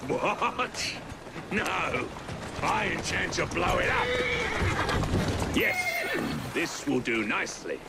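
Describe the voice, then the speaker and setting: Victorian villain, flamboyant, charming menace, very animated pitch, slow delivery, game dialogue over music. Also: male voice, creaky, very noisy, exaggerated voice. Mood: gleeful, theatrical. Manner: flamboyant, grandiose, savouring words. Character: theatrical, charming male Victorian crime lord, playfully menacing. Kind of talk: dramatic video game acting.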